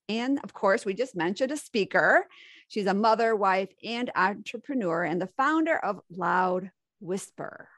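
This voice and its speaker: A woman's voice: mid-range voice, a bit bright, no echo, studio quality. A woman hosting a podcast interview, upbeat and friendly in casual chat. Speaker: podcast host.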